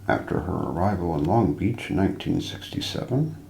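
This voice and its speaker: Man, soft ASMR storytelling, low-pitched, hushed and deliberate, very expressive intonation, roomy recording with faint noise. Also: creaky. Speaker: ASMR narrator hosting a mystery show roleplay. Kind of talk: soft ASMR scripted narration of ghost stories.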